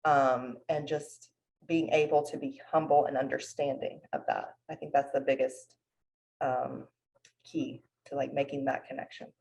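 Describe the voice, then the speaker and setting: A woman's voice: extremely low pitch, little pitch movement, unhurried, creaky, a little mellow, poor audio. A woman therapist speaking humbly and thoughtfully about her clients on a panel. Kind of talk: panel discussion.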